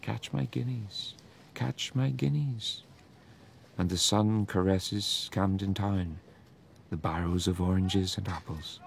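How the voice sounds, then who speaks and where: Gravelly low-voiced man reciting poetry. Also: slight background noise. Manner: measured and declamatory. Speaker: poetry reader. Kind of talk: dramatic poetry reading.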